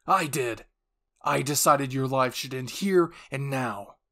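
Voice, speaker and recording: A man acts out a ruthless young enemy warrior from an anime roleplay. He delivers cold threats in a loud, furious, declamatory yell. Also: mid-range voice, slow. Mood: cold fury.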